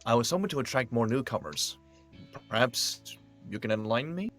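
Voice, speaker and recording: A man's voice: medium pitch, big pitch swings, moderate pace, fairly bright tone, faint music behind. A man voicing a nervous, earnest clinic doctor in a visual novel, formal and hesitant in his speech. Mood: worried.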